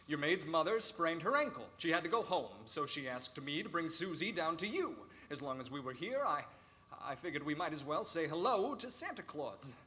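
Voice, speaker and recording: A man's voice: a little high, very animated, normal speed, sharp tone, a little hiss or hum. An actor playing a mild, polite and apologetic man, speaking hesitantly in a live radio play. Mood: sheepish. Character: mild, friendly, apologetic gentleman neighbour.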